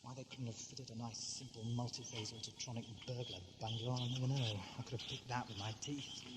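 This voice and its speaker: A man's voice: mid-range voice, steady tone, a little fast, heavy background noise, lightly acted. Wordy, pompous adventurer played by a man. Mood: muttering, irritated. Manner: wordy, pompous, drily witty. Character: eccentric, conceited time-travelling male adventurer, wry and curious. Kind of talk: audio drama acting. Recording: 1980s amateur audio drama with loud music.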